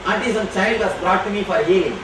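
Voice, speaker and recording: A man whose first language is Tamil, answering audience questions as a spiritual teacher, speaking slowly and emphatically. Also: high-pitched. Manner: slow, emphatic and declarative. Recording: echoey hall from far off, noisy.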